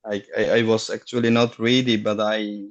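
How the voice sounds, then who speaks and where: A middle-aged man, a guest on a video call, telling his story haltingly and searching for words. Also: lowish voice, level tone, lo-fi sound. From Afghanistan. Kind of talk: casual personal story.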